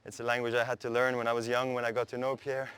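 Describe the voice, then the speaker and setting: A man in his thirties whose first language is Swiss German talks in an easygoing, chatty way. He explains his warm-up between exercises on an outdoor tennis court, worn mic picking him up as he moves. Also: medium pitch, fast talker, smooth. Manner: relaxed, chatty, laughs easily. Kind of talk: casual explanation during practice.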